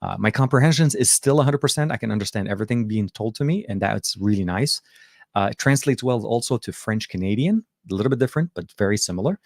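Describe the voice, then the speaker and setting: A middle-aged man, born and raised in Lebanon with Arabic as his first language, hosting a casual livestream from home. His voice sits a bit low, recorded dry and close. He rambles in a friendly way, full of "um"s and restarts. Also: animated, quick pace, creaky, dry recording. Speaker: tech show host. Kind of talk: casual livestream chat reading viewer comments.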